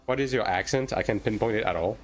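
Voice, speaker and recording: A man's voice: fairly low pitch, fast talker, slight background noise. A man from France, a native French speaker, chatting casually and informally on a game livestream as he answers viewers. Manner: informal, hedging, tags sentences with "right?". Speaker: game streamer.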